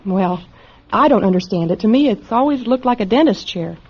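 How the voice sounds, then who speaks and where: Anxious, dutiful doctor, muffled recording. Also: female voice, medium pitch, animated, fairly quick, a little mellow. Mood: calm, professional. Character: anxious, dutiful woman doctor keeping an audio diary. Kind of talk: radio drama acting. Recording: muffled lo-fi audio with hiss.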